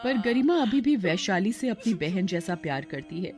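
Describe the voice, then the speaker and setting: A woman's voice: mid-range voice, expressive, normal speed, over music. A woman storyteller reading a scripted moral tale as its narrator.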